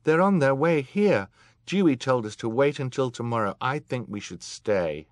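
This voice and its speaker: A man's voice: mid-range voice, expressive, moderate pace, no room sound, studio quality, voice pushed up, lightly acted. A man voicing a conscientious, sorrowful eldest sister, a young girl, with an earnest manner in an audiobook. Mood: troubled, resolute.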